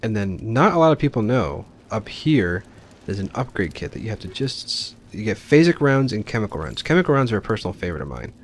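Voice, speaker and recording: A man's voice: on the low side, very animated, quick pace, slight background noise. A man giving informal, explanatory commentary as he hosts a let's play of a video game. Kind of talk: casual gameplay commentary.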